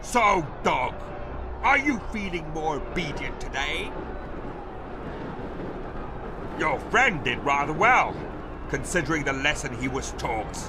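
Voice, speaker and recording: An actor in a video game playing a mocking, gloating master of a fighting pit, with a sneering, theatrical, taunting delivery. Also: male voice, fairly high pitch, very animated, a little slow, over music. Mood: mocking menace. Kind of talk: dramatic video game voice acting.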